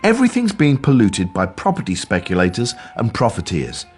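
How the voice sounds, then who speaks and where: A man's voice: medium pitch, wildly swooping pitch, fairly quick, soft background music. A British man playing a weary, disillusioned police detective in a radio drama, grumbling in plain-spoken style. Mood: bitter grumbling. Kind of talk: naturalistic radio drama acting.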